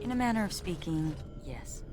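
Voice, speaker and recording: Woman as a low-voiced, stoic dwarf warrior. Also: moderate pace, long reverb tail, music in the background, natural voice. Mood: wry. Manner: deadpan, sober. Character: stoic female dwarf warrior, dry and dutiful. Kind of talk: video game voice acting.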